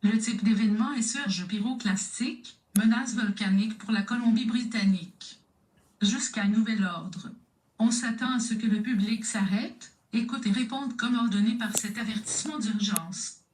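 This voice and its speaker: A woman reading a formal emergency announcement in a measured, impersonal manner. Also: a little high, darkish tone. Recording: echoey, distant playback.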